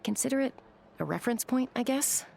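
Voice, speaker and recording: A woman playing a caring, thoughtful young woman in a video game, steady and reassuring. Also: sits high, fairly quick, gravelly, slight background noise, heavily acted.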